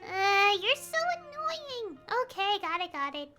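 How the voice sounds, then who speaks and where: Game fairy sidekick: childlike, excitable, easily annoyed, bright squeaky voice over clear music. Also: female voice, measured pace, full character voice. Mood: annoyed, bossy. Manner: exclamatory, emphatic.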